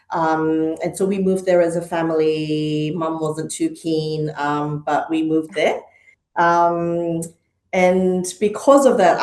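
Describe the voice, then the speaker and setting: Hesitant low-voiced woman, echoey room. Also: a little mellow. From Malaysia and Hong Kong. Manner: thoughtful, frequent ums and uhs. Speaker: guest. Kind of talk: conversational interview.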